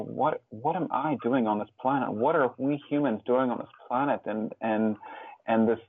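A man being interviewed as a guest, answering reflectively in a halting, thoughtful way with pauses and repeated words. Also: medium pitch, no room sound, phone-quality sound. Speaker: interview guest, author and hospice volunteer.

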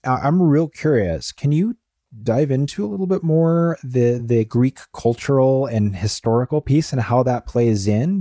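A man with a lowish, mellow voice hosts the interview. He asks curious, reflective questions and sums up what he hears. The recording is dry and close. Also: dry recording. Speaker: podcast host. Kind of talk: interview conversation.

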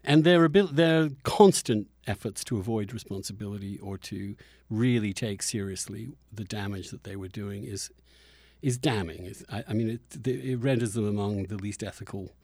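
Middle-aged man, emphatic and halting, dry sound. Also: fairly low pitch, a little mellow, no room sound. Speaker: podcast host. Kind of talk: conversational interview with opinionated commentary.

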